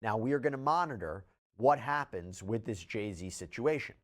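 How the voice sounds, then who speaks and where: A man's voice: lowish voice, expressive, moderate pace, a bit bright. A man presenting legal news updates as a commentator, stressing key words and posing rhetorical questions. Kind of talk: news explainer narration, presenting case updates.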